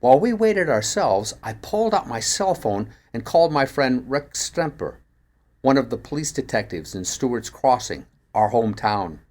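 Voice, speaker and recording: A man's voice: medium pitch, very animated, moderate pace, a little mellow. Man narrating a mystery short story. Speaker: actor reading a short story. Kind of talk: first-person audiobook narration of a mystery story.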